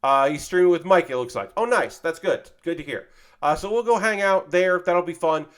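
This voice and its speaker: A man's voice: high-pitched, moderate pace, a bit bright, smooth. A man casually chatting on a livestream while building a model kit, loose and profane, tired with a slightly worn voice. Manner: loose and profane, lots of fillers.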